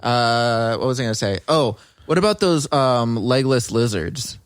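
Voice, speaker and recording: A man's voice: mid-range voice, lively intonation, measured pace, clean tone, dry recording, clean studio sound. A man co-hosting a podcast in casual, joking chat, often giggling through his words. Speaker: podcast co-host.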